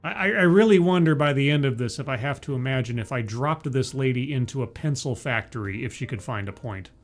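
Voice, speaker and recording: A man streaming live, mocking a talk he is watching with deadpan, stammering asides and greeting his chat. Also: mid-range voice, brisk, soft background music. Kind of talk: sarcastic live commentary.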